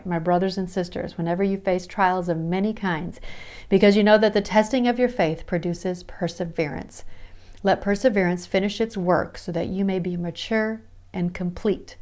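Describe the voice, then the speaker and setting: A woman's voice: lowish voice, darkish tone. A woman with a Midwestern American accent hosting a daily Bible reading podcast, talking in an earnest, encouraging way and reading scripture passages aloud. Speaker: podcast host. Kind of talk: devotional Bible study talk.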